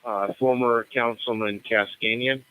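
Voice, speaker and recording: A man's voice: medium pitch, fairly even intonation, a little slow, fairly bright tone, clean tone, poor audio. An American man acting as net control, running a radio roll call in a formal, procedural manner.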